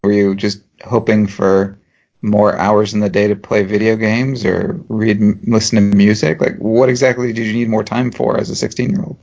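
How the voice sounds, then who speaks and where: A man, a professor co-hosting a podcast, explaining ideas in a measured way with dry humour. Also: on the low side, level tone, creaky, darkish tone, highs cut off. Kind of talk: explanatory chat.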